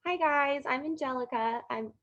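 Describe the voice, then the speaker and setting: A woman's voice: sits high, normal speed, a bit bright. A young American woman giving a casual, conversational testimonial on slightly muffled, lo-fi audio. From Florida, United States.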